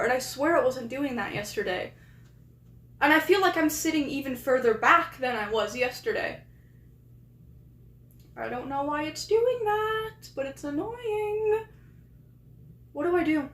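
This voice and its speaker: Young woman, Canadian accent, high voice, scattered casual streamer chatter; echoey room. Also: expressive, measured pace, long pauses, fairly bright tone. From England. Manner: chatty and scattered, talking to chat while fiddling with her setup. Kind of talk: casual live-stream chat. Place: small rented room at a desk.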